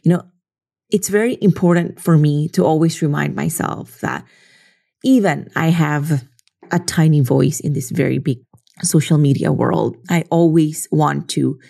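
Low, gravelly woman vlogger. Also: dark tone. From Philippines. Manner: earnest, leans on "you know" and "right?". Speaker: social media creator. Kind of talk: casual reflective monologue.

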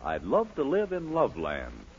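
A man's voice: mid-range voice, huge pitch swings, moderate pace, loud music behind the voice. A man announcing on a 1940s radio comedy show in the announcer style of the period. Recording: very noisy, dull old radio recording.

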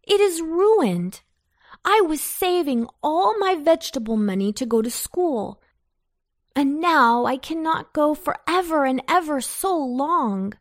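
An earnest young boy from a children's storybook, acted by a woman. He complains in an aggrieved way, with slow, deliberate phrasing and big rises and falls in pitch. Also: sing-song.

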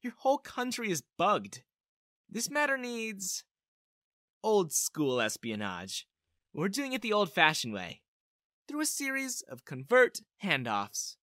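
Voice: medium pitch, huge pitch swings, slow, bright, forceful tone, no echo, clean studio sound, pitched above the performer's own voice, full character voice. A narrator voicing an earnest, determined woman spy with an urgent manner, in storybook dialogue. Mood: businesslike, urgent. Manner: pleading, urgent, resolute. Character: earnest, determined female Russian spy seeking shelter. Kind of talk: audiobook-style character dialogue.

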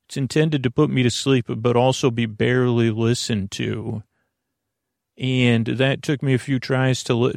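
A man with a creaky voice hosting a bedtime podcast, meandering and halting as he stammers and circles back on his words. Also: mid-range voice, no room sound, clean studio sound. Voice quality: creaky, gravelly. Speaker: podcast host. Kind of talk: rambling bedtime podcast monologue to help listeners sleep.

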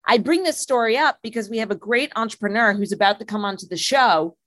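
A woman's voice: fairly high pitch, fast talker, a bit bright, no echo. Woman co-host, enthusiastic storyteller, dry close recording. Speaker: podcast co-host. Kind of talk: podcast hosting, scripted intro.

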